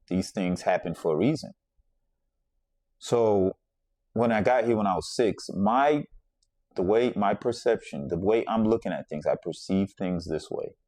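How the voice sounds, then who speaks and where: A man's voice: deep voice, dark tone, dry recording, studio quality. A man from the Bahamas hosting a podcast, telling a personal story in a reflective, conversational way and circling back to repeat his points. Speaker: podcast host.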